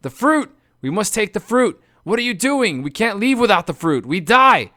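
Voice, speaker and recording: Brief: desperate shrieking captives, voiced by a man, pitched way up. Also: big pitch swings, moderate pace, pushed and bright, no echo, studio quality, full character voice. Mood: frantic. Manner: shrieking, pleading. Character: frantic desperate men, shrieking and pleading.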